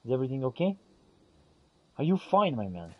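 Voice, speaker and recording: A man's voice: mid-range voice, sing-song, fairly quick, breathy edge, no echo, lo-fi sound. A man role-playing aloud as he plays a fantasy game, mixing archaic theatrical phrases with casual asides. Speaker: gameplay streamer role-playing. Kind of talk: in-character role-play commentary on a fantasy game.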